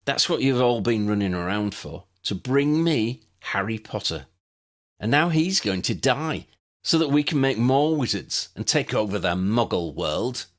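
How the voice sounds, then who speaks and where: A man's voice: medium pitch, animated, a little slow, a bit bright, pitched above the performer's own voice. A man voicing an angry, boastful wizard villain in an audiobook, proud and defiant with a thin, weak voice. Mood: menacing. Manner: proud, defiant, with a dry cough. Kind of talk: audiobook character voice.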